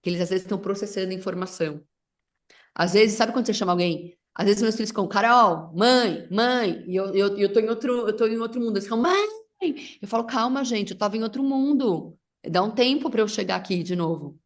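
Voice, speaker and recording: A middle-aged woman whose first language is English chats as a facilitator guest. Her voice sits at an ordinary middle pitch, and she rambles, restarting sentences and dropping in English words. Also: brisk. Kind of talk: casual conversation.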